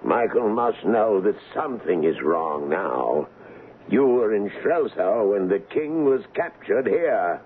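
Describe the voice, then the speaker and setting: An actor playing a loyal, excitable veteran colonel in a theatrical, deliberate 1970s radio drama style. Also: male voice, fairly low pitch. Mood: grave, reasoning. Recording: muffled 1970s radio broadcast, faint hiss.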